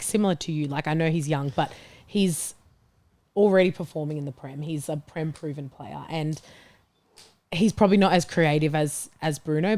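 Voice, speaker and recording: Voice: medium pitch, darkish tone, no echo. A football panellist chatting very informally and explaining a personal pick. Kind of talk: casual football chat.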